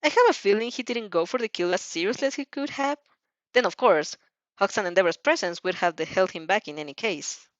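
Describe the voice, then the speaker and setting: A woman's voice: mid-range voice, expressive, a little fast, no echo, natural voice. A woman playing an earnest, guarded teenage girl training as a hero, explaining herself carefully in a fan fiction audio reading on a slightly dull recording. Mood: thoughtful.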